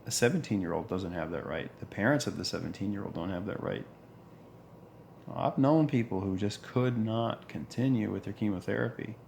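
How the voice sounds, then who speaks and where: A man in his forties with a deep voice, talking earnestly in a reflective monologue, pausing and restarting as he goes. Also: vocal fry, darkish tone, a little hiss or hum. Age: 40s. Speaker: vlogger.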